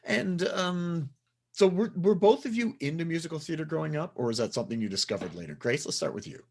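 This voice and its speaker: A man's voice: a little high, expressive, a little fast, darkish tone. A man hosting a casual radio interview, upbeat and chatty, laughing often. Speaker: podcast host.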